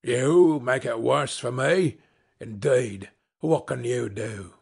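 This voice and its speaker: A man's voice: a little high, slow, creaky, exaggerated voice. A man playing a brutish male carter in a storybook, grumbling, scornful and self-pitying. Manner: grumbling, lamenting.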